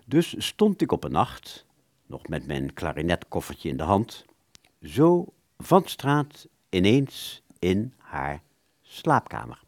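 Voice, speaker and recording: A smug, roguish musician in a radio play, with a deep voice that swings widely in pitch. He draws words out theatrically, recorded dry. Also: huge pitch swings, slow, dry recording, full character voice. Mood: self-pitying, smug. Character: roguish, self-satisfied male clarinettist recounting his exploits. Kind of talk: radio play storytelling in the first person.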